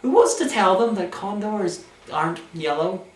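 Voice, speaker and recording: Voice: mid-range voice, animated, normal speed, over loud music. A comedy film review host with a sarcastic, theatrical, mock-imperious way of delivering scripted commentary. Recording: roomy echo, faint background noise.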